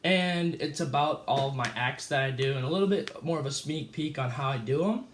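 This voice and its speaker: A man's voice: medium pitch, a little fast, slight background noise, natural voice. A young man playing a cheerful famous escape artist being interviewed, talking casually with fillers and small slips. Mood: easygoing. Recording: echoey room.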